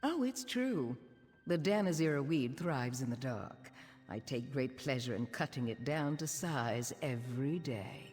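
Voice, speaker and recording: An actress playing a haughty, menacing guild master in a video game, sardonic and deliberate. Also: female voice, very deep voice, animated, darkish tone. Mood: smug menace. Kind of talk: video game character dialogue. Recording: long hall reverb, music behind.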